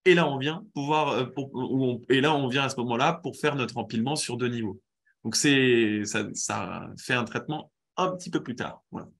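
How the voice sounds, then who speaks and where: Man, stuffy head cold, webinar presenter, halting, restarts often, mid-pitched. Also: creaky, fairly bright tone. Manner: halting, many restarts and fillers. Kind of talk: explaining a method in a webinar.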